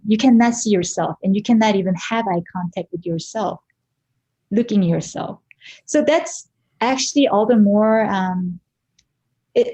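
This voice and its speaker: A woman from South Korea with a Korean accent, speaking as a guest artist in an interview in a thoughtful, halting way with many fillers and restarts. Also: mid-range voice, warm tone, rough recording. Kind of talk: reflective interview talk.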